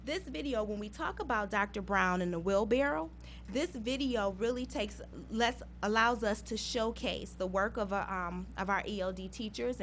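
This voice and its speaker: Woman, school program presenter, mid-pitch, plain board-meeting delivery, a faint hum behind. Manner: conversational, earnest, with frequent fillers. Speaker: school district English learner program presenter.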